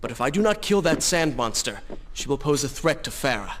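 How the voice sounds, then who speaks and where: An actor playing a proud, vengeful young warrior prince in a video game, intense and declamatory. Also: male voice, medium pitch, very animated, brisk, over loud music, natural voice. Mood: resolute. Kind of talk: dramatic video game voice acting.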